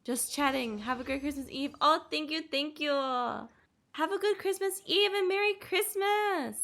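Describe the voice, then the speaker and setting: High, bright, creaky-edged woman streamer. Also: unhurried. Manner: bubbly, gushing. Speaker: livestreamer. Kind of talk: casual game-stream chat and reactions.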